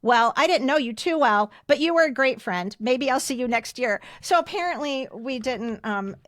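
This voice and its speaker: A woman's voice: sits high, expressive, moderate pace, a bit bright, no echo. A woman in her fifties with an American accent, telling personal stories casually and emphatically as a podcast co-host. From United States. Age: 50s.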